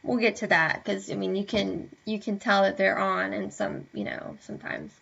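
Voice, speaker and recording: A young woman chatting casually to camera, hesitant with many fillers, sounding a bit hoarse and tired. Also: medium pitch, steady tone, moderate pace, fairly bright tone. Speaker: vlogger. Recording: dull lo-fi webcam audio.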